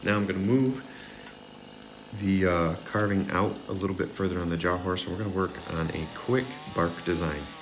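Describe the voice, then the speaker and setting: Deep-voiced man talking through carving steps. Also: moderate pace, background noise. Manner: relaxed, talks viewers through each cut step by step. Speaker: chainsaw carving instructor. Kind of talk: casual tutorial voice-over.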